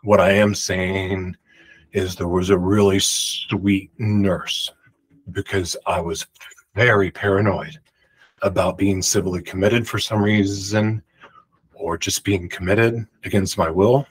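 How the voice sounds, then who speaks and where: A man in his forties telling a rambling personal story to camera in a room at home, talking emphatically and projecting loudly. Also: deep voice, flat delivery, takes it slowly, poor audio. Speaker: vlogger. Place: home room with pictures on the walls. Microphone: large studio mic beside him.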